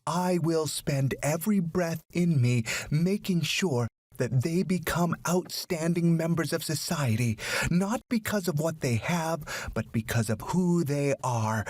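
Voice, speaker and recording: A man voicing a proud, dignified widowed foster mother in a storybook, speaking in an earnest, measured, emphatic way. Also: fairly high pitch, no echo, pitched above the performer's own voice. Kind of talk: audiobook story character.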